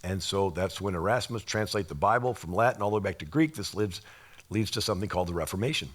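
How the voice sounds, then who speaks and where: A man with a slightly raspy voice giving an informal history lecture, explaining things and posing rhetorical questions as he goes. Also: deep voice, lively intonation, fast talker, slight background noise.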